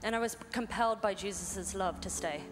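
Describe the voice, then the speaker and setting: A woman in her thirties from Ireland, giving an earnest testimony on stage in short measured phrases. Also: mid-range voice. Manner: earnest, measured, pausing for interpreter. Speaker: missionary guest. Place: large hall. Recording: echoey hall with music behind.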